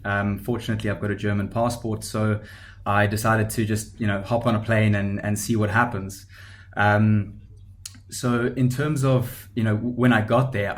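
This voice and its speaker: A South African man in his thirties tells his career story as a podcast guest. His voice sits low, and he speaks in a level, monotone way, pausing often with ums and starting sentences over. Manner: hesitant, many ums and restarts. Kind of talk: conversational podcast interview.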